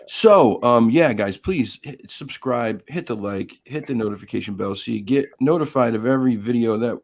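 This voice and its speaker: Gravelly, hoarse man hosting a livestream. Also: lowish voice. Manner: chatty, greets viewers by name. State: hoarse, losing his voice. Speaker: live stream host. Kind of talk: casual live-stream chat.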